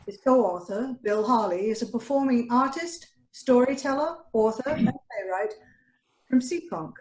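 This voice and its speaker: A woman's voice: on the high side, animated, measured pace, a little mellow. A woman presenting a program, reading a scripted introduction. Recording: roomy online video call sound.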